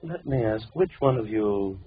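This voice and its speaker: An actor playing a deranged, menacing villain in old radio horror, theatrical and gloating, swinging between coaxing and rage. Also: male voice, lowish voice, expressive, normal speed, husky. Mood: coaxing, sinister. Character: deranged, menacing villain forcing a captive to marry. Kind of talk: 1940s radio horror acting. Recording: muffled old radio broadcast with background noise.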